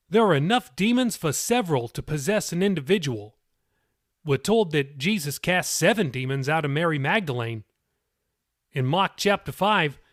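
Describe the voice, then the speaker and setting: A man's voice: a little high, a bit bright, no room sound, studio quality. A man voicing an earnest male pastor in an audiobook, reasoning aloud and teaching from scripture.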